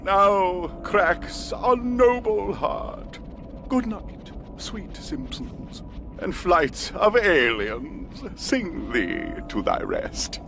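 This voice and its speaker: An actor playing a pompous, theatrical, vengeful villain in a cartoon video game, declaiming like a stage actor. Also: male voice, high-pitched, very animated, extremely slow, creaky, full character voice. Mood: grandiose. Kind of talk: animated video game cutscene acting. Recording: loud music behind the voice. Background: music.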